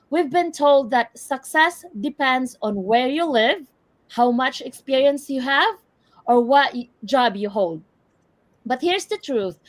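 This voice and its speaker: An energetic woman hosts a live online show, speaking in a motivational tone. Her voice sits a little higher than most. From Philippines. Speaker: host.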